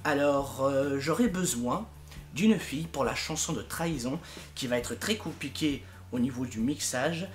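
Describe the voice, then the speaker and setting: A man's voice: mid-range voice, a little slow. A young man giving a casual, informal announcement as a project leader, exasperated and emphatic with comic exaggeration. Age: young adult. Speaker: fandub project leader and video maker.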